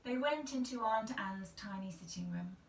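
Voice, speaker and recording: Creaky woman storyteller, echoey room. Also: mid-range voice, moderate pace, a little hiss or hum. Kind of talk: children's story reading. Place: small tiled-looking bathroom indoors. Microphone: camera a couple of metres away. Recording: echoey small room, distant mic.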